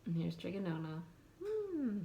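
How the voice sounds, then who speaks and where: A woman reading a picture book aloud to children in an animated, expressive way, adding asides and questions in mock surprise. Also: fairly low pitch, a little slow, gravelly, noisy background. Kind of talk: animated read-aloud storytelling with asides to young listeners.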